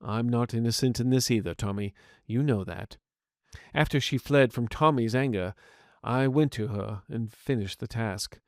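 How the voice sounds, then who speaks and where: A man acts out the reasoning inner voice of a man in an audio story. It is calm and measured, explaining itself with remorse. The recording is dry. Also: medium pitch, dry recording, natural voice. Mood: remorseful. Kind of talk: scripted audio fiction dialogue.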